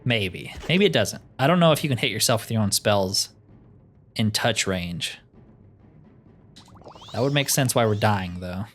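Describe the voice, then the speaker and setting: A man's voice: fairly low pitch, expressive, unhurried, long pauses, a bit bright, faint music behind. A man giving casual gameplay commentary in a laid-back way, musing to himself as he plays. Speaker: gaming streamer.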